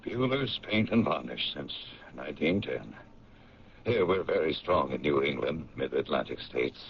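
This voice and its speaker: Blunt factory owner for radio drama: very low, swooping delivery, halting and proud, on muffled old tape. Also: male voice, animated, gravelly, full character voice. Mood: uneasy. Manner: hesitant, halting talk with ums and pauses. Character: blunt, proud owner of a family paint company.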